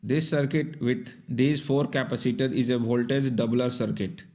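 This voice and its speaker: A man's voice: mid-range voice, steady tone, normal speed, a little mellow. A man narrating a scripted technical tutorial in a methodical, step-by-step instructional manner. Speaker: repair tutorial presenter.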